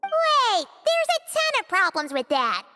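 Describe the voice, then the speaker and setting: A woman's voice: very high-pitched, wildly swooping pitch, unhurried, very noisy, heavily acted. A woman voicing a small girlish companion creature in a video game cutscene, blunt and suspicious, talking about herself in third person. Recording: long reverb over loud game music. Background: game soundtrack music.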